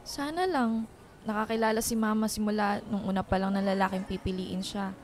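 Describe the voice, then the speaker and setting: A woman's voice: mid-range voice, fairly even intonation, fairly quick, slight background noise, natural voice. A young woman playing a wounded, brooding daughter in a radio drama, plaintive and conversational, mixing in some English. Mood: bitter, wistful.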